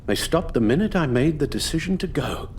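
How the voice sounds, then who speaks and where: An actor playing an elderly British butler in a video game, formal and measured, weary and full of sorrow. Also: male voice, fairly high pitch, expressive, a little hiss or hum, close to an everyday voice. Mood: grave pleading. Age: older. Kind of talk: dramatic video game acting.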